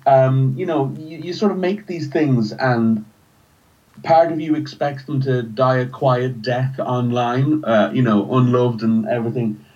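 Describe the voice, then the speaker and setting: A man's voice: mid-range voice, a little slow, darkish tone. A man chatting as a podcast guest, hesitant and thoughtful as he answers. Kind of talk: casual interview chat. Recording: distant, dull lo-fi sound.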